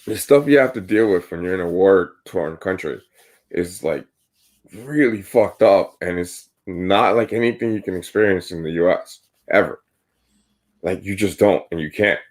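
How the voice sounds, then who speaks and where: A man co-hosting a casual podcast, talking in long rambling turns full of "like" and "right?". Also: low voice. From United States. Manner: rambling, filler-heavy, says "like" and "right?" often. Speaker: podcast co-host.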